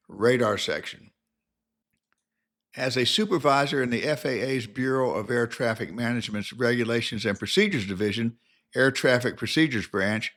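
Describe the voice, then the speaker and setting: A man's voice: medium pitch, fairly bright tone. An older man narrating a scripted biographical documentary in a formal, careful reading manner.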